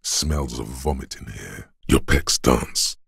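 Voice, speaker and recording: A menacing, brooding inner voice from a video game, speaking from the very bottom of the male register. The delivery is slow and solemn, thick with gravelly creak. It coaxes and commands like dramatic narration, gruff and deliberate. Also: fairly bright tone, no echo, studio quality, exaggerated voice. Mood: grave, ominous. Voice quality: very deep, gravelly, husky rasp. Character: gruff, menacing inner voice of a man's body, reactionary, coaxing.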